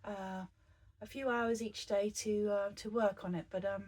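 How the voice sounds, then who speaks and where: A woman with a croaky, husky voice after a recent virus, talking viewers through an embroidery project. Her tone is mellow and dark, and her pitch is ordinary. She speaks in a chatty, meandering way, full of fillers and restarts. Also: steady tone, measured pace. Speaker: embroidery teacher. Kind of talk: craft tutorial narration.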